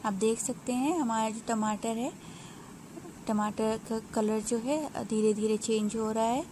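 A woman narrating a home cooking recipe step by step in an unhurried, explanatory way, pausing with small hesitations. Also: on the high side, steady tone, background noise. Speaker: home cook.

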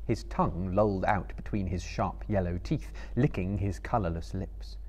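A man in his thirties reads literary fiction aloud as a dramatic storyteller. His voice sits low and has a husky grain. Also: normal speed, darkish tone. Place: book-lined room before a small live audience.

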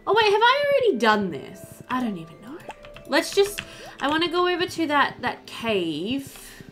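A woman's voice: sits high, wildly swooping pitch, moderate pace, fairly bright tone. A woman in her thirties giving casual, chatty let's play commentary, thinking aloud and talking to the game characters. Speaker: gaming video host. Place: indoor room at home. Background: game music.

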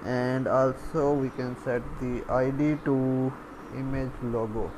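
A man talks through a screencast programming lesson at a slow, halting pace, thinking aloud as he goes. His delivery is very flat, with little movement in pitch. There is clear noise behind his voice. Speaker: programming tutorial instructor. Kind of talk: unscripted screencast coding tutorial. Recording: clear background noise, room ambience.

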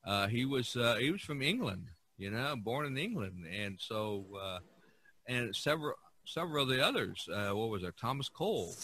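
A man speaking as a podcast co-host in a casual art history discussion, haltingly searching for words. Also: medium pitch, sing-song, pushed and bright, slight background noise.

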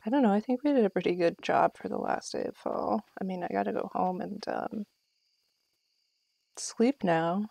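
Creaky-voiced woman streaming a game. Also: mid-range voice, normal speed, dark tone, dry recording. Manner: playful, talking to herself and to viewers. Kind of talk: casual live gameplay commentary.

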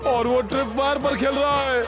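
A man's voice: very high-pitched, fairly even intonation, normal speed. A man voicing a big, good-natured, confident cave troll in a cartoon dub, cheerful and cocksure. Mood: excited. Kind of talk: animated cartoon dub acting. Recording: loud music behind the voice.

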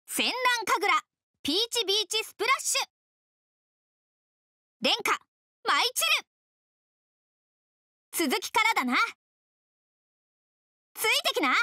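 A woman voicing a brash, fiery, festival-loving tomboy fighter girl in an anime video game, with a rough, boisterous slangy delivery. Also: very high-pitched, pushed and bright, no echo, clean studio sound, heavily acted. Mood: spirited.

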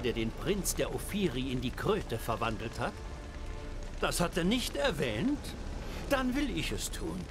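An actor playing a smug, sinister male trickster who tells tales in a theatrical, insinuating way in game dialogue. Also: fairly high pitch. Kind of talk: dramatic video game dialogue.

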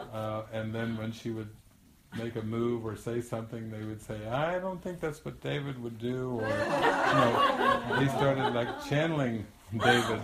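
An older man speaks in an ordinary middle pitch, spinning a meandering story as a spiritual teacher. He circles back, restarts phrases and laughs along the way. The room around him adds a noticeable echo. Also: very noisy. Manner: rambling anecdotal storyteller, laughs often, frequent restarts. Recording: echoey room.

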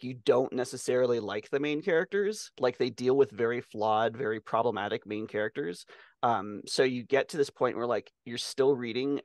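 A man's voice: mid-range voice, no room sound, clean studio sound. Male podcast co-host, middle-aged, mid-range voice, loose conversational delivery, very little room sound. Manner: casual, freely swearing. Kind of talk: casual chat about books. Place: small room on a video call.

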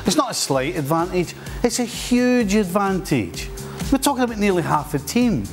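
A man's voice: high-pitched, sing-song, normal speed, soft background music. A man with a Scottish accent arguing emphatically as a football pundit in a casual panel debate. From Scotland.

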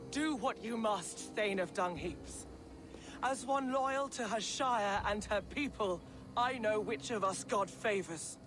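Woman acting a slow, scornful noblewoman. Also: mid-range voice, over music, close to an everyday voice. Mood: bitter defiance. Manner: scornful, formal. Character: defiant, bitter defeated noblewoman, haughty and vengeful. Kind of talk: cinematic video game acting.

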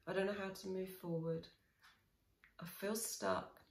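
A woman in her forties guiding a tapping session, speaking slow, soothing, repeated affirmations with sighs. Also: lowish voice. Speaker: EFT tapping coach. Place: small room indoors. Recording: echoey small room.

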